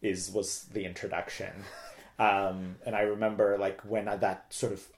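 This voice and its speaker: A man's voice: on the low side, level tone, moderate pace. A talkative middle-aged man from Turkey, telling personal stories casually as an interview guest. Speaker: interview guest, theater director.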